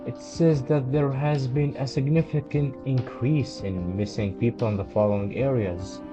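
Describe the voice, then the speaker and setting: A man narrating a horror video commentary in a halting way, pausing mid-sentence as he explains and reads out quotes. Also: mid-range voice, fairly even intonation. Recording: dull lo-fi voice recording. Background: background music.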